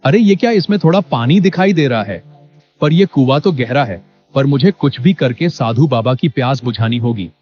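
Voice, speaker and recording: A man playing an honest, poor villager, earnest and polite, in an animated story. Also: medium pitch, normal speed, close to an everyday voice. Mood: worried. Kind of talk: animated Hindi moral story acting. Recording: dull lo-fi audio with music behind.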